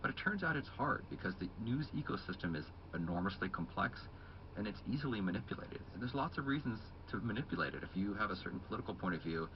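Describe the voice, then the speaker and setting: A man, a journalist, answering questions in a radio interview in a measured, explanatory way. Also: on the low side, expressive, muffled recording, background noise. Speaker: journalist guest.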